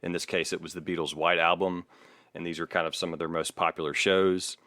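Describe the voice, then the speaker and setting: A man's voice: deep voice, level tone, brisk, pushed and bright, dry recording, studio quality. A man co-hosting a podcast, narrating music history casually and informally with an amused streak. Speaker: podcast co-host.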